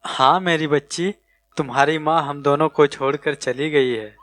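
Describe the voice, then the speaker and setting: A man's voice: fairly high pitch, fairly even intonation, unhurried, fairly bright tone, no echo, faint music behind. Weary, affectionate family man played by an actor. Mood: sad, frustrated. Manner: weary, tender. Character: tired, affectionate, burdened father and husband.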